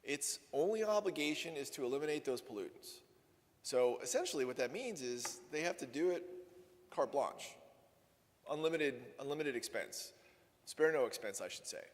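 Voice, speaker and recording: A man's voice: fairly high pitch, expressive, normal speed. A man giving a conversational, wry conference talk on policy, often correcting himself mid-sentence. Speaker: energy policy speaker. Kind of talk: conference presentation with Q&A. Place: conference hall. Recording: long hall reverb.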